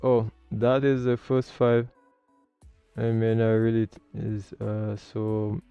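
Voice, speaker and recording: A man with a low, very mellow voice hosts a casual tutorial livestream. His tone is smooth and close, with little room sound. The audio is a bit dull, with faint music behind him. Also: monotone, slow, no room sound. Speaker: livestream host learning air traffic control.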